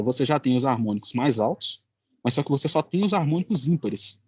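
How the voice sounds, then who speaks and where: Young male Brazilian student presenter: husky, informal, halting, very dry close sound. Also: mid-range voice, mellow, no echo. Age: young adult. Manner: halting, searching for words. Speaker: student presenting final project.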